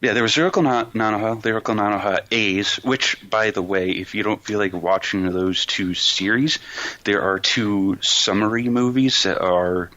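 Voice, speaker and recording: A man's voice: fairly low pitch, moderate pace, sharp tone, no echo, dull audio. A man talking casually and emphatically as a podcast host, explaining things with colloquial enthusiasm. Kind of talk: casual anime discussion.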